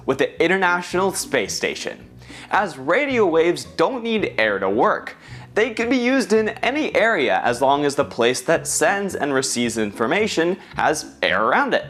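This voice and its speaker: A young man with an American accent narrates a scripted explainer. His delivery is upbeat and swings widely in pitch, with some music playing behind him. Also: a bit bright. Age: young adult. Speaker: science video presenter. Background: background music.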